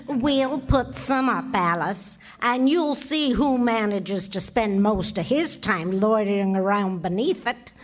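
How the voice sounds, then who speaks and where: A woman acting a patient, sensible wife who teases with gentle sarcasm in a 1940s radio comedy. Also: mid-range voice, close to an everyday voice. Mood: teasing. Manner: dry, measured teasing.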